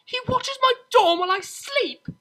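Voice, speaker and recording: A woman's voice: very high voice, expressive, moderate pace, bright, forceful tone, pitched above the performer's own voice, exaggerated voice. A woman voicing a young, refined pony mare, a defensive daughter who pleads emphatically and gets flustered. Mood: outraged.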